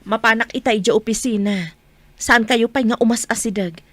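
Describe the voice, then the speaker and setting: A woman's voice: a little high, normal speed, a bit bright, no echo. A woman with an Ilocano accent playing an anxious, protective young mother in a radio comedy drama. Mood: worried, explaining.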